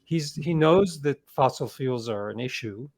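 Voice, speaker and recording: A man's voice: medium pitch, lively intonation, a little slow, darkish tone. A man speaking casually as an author being interviewed.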